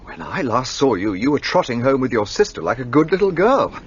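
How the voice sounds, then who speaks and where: Man as an eager young Englishman, lively pitch, flustered, muffled audio with faint ambience. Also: a little fast, a little hiss or hum, lightly acted. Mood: earnest. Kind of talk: radio play acting.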